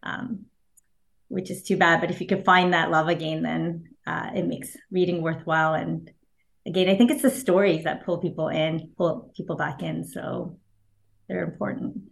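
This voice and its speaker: A woman whose first language is Japanese, speaking as an author guest in an interview, thoughtful and hesitant with fillers and soft laughs. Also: on the low side, fairly even intonation, moderate pace, vocal fry. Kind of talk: casual interview answers.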